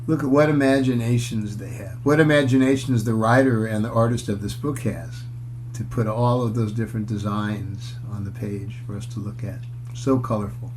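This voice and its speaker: A man's voice: on the low side, fairly even intonation, darkish tone, a little hiss or hum, low-quality recording. A man reading a children's picture book aloud in a storytelling style, with chatty asides to his listeners. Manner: chatty, pauses to comment on pictures and question listeners.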